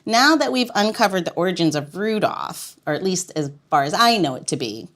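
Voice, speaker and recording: A woman's voice: on the low side, very animated, fairly quick, fairly bright tone. Playful woman hosting a casual podcast. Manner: playful, laughs often. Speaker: podcast host.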